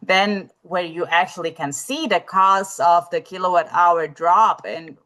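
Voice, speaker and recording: A woman's voice: on the low side, unhurried, pushed and bright. A woman presenting in a live webinar over a video call, talking with many fillers and restarts as she works through her answer.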